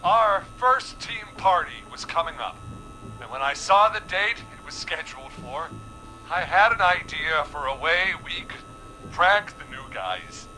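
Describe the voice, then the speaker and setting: Slow, defensive hostage man. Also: a little high, animated, sharp tone, faint music behind, full character voice, low-quality recording. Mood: reluctant, halting. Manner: halting, defensive, evasive. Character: trapped, defensive, self-justifying man held by a killer. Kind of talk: scripted game voice acting.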